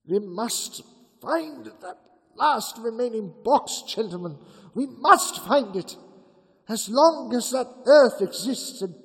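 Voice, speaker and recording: An actor playing a commanding elderly professor who urges his companions on in a radio drama, with a husky tone and long reverb. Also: very high-pitched, animated, takes it slowly, fairly bright tone, full character voice. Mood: urgent. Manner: urgent, commanding, rallying. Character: commanding elderly male professor, vampire hunter, urgent.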